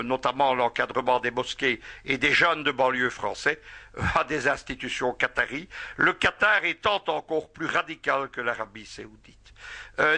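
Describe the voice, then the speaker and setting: Man, political commentator, bright, edgy tone, lots of hesitant filler sounds, on location outside. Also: fairly high pitch. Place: outdoors by an industrial site.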